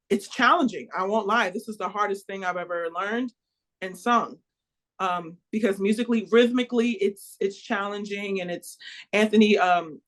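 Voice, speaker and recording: Earnest American woman opera singer. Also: medium pitch. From United States. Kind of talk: interview.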